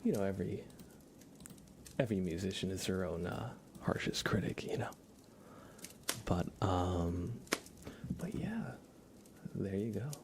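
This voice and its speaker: A young man doing a casual ASMR livestream chat, relaxed and halting, softly spoken and often slipping into whispers. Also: low-pitched, a little hiss or hum. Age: young adult. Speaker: ASMR livestreamer chatting with viewers.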